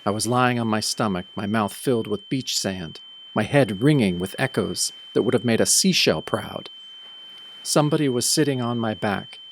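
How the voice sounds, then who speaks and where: A man playing a brooding, tough hero who narrates an audio drama in the first person with grim, deliberate delivery. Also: on the low side, a little hiss or hum, lightly acted. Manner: grim, deliberate hardboiled storytelling. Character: brooding tough male hero recounting his nightmare. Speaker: narrator and protagonist.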